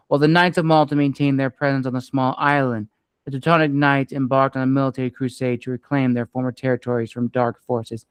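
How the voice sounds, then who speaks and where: A man, the author, reading his own story aloud in a measured, expressive way. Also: medium pitch, clear, resonant tone, no echo.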